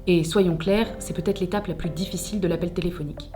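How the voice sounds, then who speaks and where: A woman's voice: fairly low pitch, steady tone, fast talker, reverberant, music in the background. A woman presenting a scripted tutorial in a direct, conversational way with wry, playful humour. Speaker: presenter of job-search tutorial.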